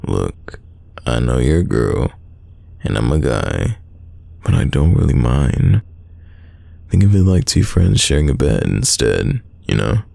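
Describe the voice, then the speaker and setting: Super deep crackly guy acting a stubborn teasing young man, tsundere roleplay audio, casual and sighing, really up and down. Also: big pitch swings, warm tone, no echo, heavily acted. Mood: teasing, insistent. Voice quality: very deep, gravelly voice. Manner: casual, teasing, drawn-out sighs. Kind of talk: intimate roleplay audio drama.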